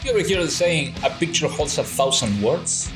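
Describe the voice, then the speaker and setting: An actor playing a cheeky young army recruit, teasing and needling in an audio drama. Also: male voice, a little high, expressive, moderate pace, over music, lightly acted.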